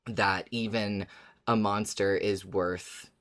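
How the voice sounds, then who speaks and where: A co-host speaks in a casual podcast discussion. The delivery is agreeable and thoughtful, with points built up gradually in halting phrases. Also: sits low, steady tone, a bit bright.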